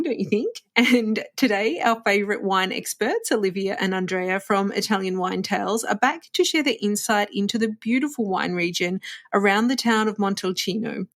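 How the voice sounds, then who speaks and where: A woman hosting a travel podcast, upbeat and conversational, chatting with her guests. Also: mid-range voice. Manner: upbeat, conversational, gives tips. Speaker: podcast host.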